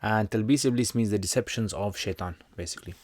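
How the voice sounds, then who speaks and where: Brief: male livestream host and teacher, low-pitched, dry close sound, jokey and rambling. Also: fast talker, darkish tone, dry recording. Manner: jokey, rambling, with slangy asides. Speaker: Islamic teacher hosting a livestream Q&A. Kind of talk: casual livestream chat, answering viewer comments.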